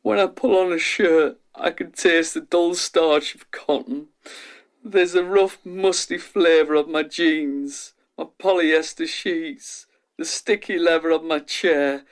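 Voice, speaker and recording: A man playing an anxious, overwhelmed test subject in a radio drama, halting and intense. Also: a little high, steady tone. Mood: distressed.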